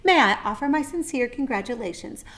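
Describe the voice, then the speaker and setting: A woman's voice: high-pitched, animated, a little fast, fairly bright tone, voice pushed up. A woman voicing an articulate female spider who speaks in a formal, gracious, proper way in a storybook. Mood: formal, congratulatory.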